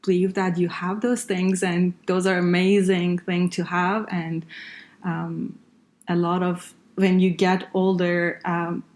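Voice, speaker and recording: A middle-aged woman from northern Iran, a native Farsi speaker, answering interview questions in a halting way with many fillers and restarts. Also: lowish voice, little pitch movement, darkish tone. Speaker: guest, healthcare consultant.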